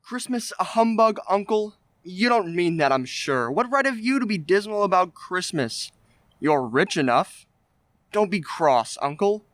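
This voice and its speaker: A man playing a cheerful, warm-hearted nephew in a radio play, speaking earnestly and upbeat. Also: high-pitched, lively intonation, a little slow, dry recording, clean studio sound. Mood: teasing, earnest. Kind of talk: old-time radio play acting.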